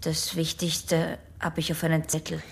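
A guarded young female patient in a radio drama. She has a slightly low voice and gives hesitant, clipped, evasive replies. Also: monotone, normal speed, slight background noise. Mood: reluctant. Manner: terse, evasive. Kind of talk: German radio drama acting.

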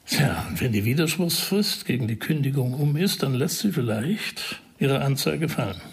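Radio crime drama: shrewd male investigator, persuasive and deliberate, rough husky tone with fry. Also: medium pitch, expressive. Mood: deliberate, explaining. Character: shrewd male investigator explaining a dismissal intrigue to a friend.

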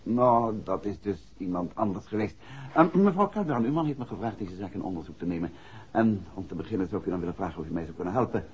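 A dull, noisy old radio recording carries a composed gentleman detective. He is courteous and astute, a man with a lively, moving pitch who chooses his words politely in true sixties radio-play style. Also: quick pace. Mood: politely probing. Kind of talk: 1960s Dutch radio drama acting.

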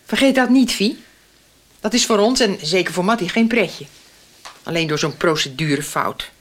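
A woman's voice: lowish voice, lively intonation, normal speed, fairly bright tone. An actress playing a worried, indignant mother in a radio soap, direct and probing.

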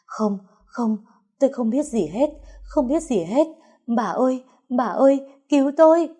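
A woman voicing a terrified older woman who pleads and sobs for her life, her voice husky and dark. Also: high-pitched, expressive, a little slow, voice pushed up. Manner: shrieking, sobbing pleas.

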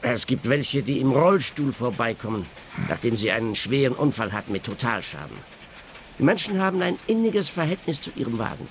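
Schauspieler als ernster Schrottplatzchef, leichte Hintergrundgeräusche. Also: male voice, mid-range voice, lively intonation, brisk, a little hiss or hum, natural voice. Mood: solemn. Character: earnest, matter-of-fact male scrapyard boss. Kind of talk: radio play dialogue.

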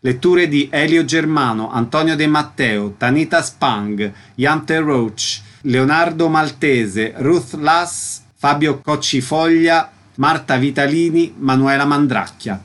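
A man announcing a radio show, reading scripted information and credits in a measured way. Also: a little high, a bit bright.

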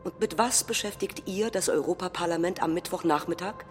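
An actress playing an indignant, combative right-wing populist politician, declaiming scornfully and emphatically in a staged reading of a parliamentary speech. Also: female voice, on the low side, brisk, natural voice. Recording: reverberant hall, faint music.

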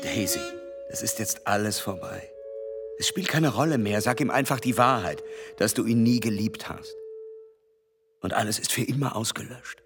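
Guy acting lovestruck gentleman in radio drama, lowish voice, formal n insistent, music underneath. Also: moderate pace, close to an everyday voice. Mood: urgent, wounded. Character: intense, controlled, lovestruck gentleman with affected politeness. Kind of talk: German radio play acting.

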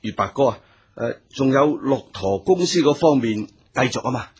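A gang boss's Cantonese right-hand man in a radio drama. The voice is heavily husky and sits at an average male pitch. He is obsequious and eager, always agreeing and flattering. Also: normal speed. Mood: eager deference.

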